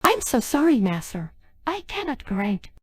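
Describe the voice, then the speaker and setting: A woman plays a devoted young genie from a video game, full of sorrow. The voice is fairly high and breathy, rising and falling widely, in a dry recording. Lines come haltingly, as apologies. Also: big pitch swings, dry recording, full character voice. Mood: sorrowful regret. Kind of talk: dubbed video game cutscene dialogue.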